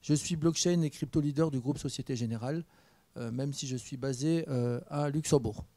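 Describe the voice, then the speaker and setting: A man's voice: mid-range voice, normal speed, no room sound. Joking French man on a panel. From France. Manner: relaxed, joking, many fillers. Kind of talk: conference panel talk. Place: university conference room. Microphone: handheld mic.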